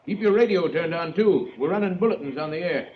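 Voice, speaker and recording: A muffled, hissy old radio recording of a man playing a folksy sheriff. His voice is high for a man, and his manner is blunt, small-town and cautioning. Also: expressive, fairly quick, natural voice. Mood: warning, brisk. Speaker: supporting radio actor. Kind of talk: 1940s radio drama acting.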